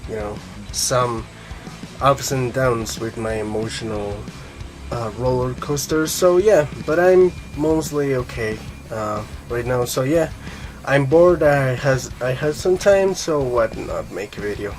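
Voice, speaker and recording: A man's voice: medium pitch, unhurried, soft background music. Colombian-accented young man rambling casually. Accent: Colombian Spanish. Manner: rambling, lots of fillers, swears casually. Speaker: guitar vlogger. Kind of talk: casual rambling monologue to camera.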